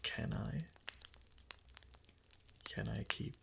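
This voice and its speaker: A man playing an obsessively devoted kitsune husband in an intimate romantic ASMR roleplay, speaking softly and hesitantly in a breathy, often whispered voice close to the mic. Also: drawn-out words, background noise. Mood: possessive, obsessive. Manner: soft, hesitant, clingy pillow talk. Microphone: close ASMR microphone.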